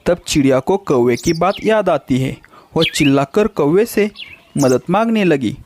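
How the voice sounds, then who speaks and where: High-pitched male children's storyteller. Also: expressive, a little slow, a little hiss or hum. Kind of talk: scripted children's story narration.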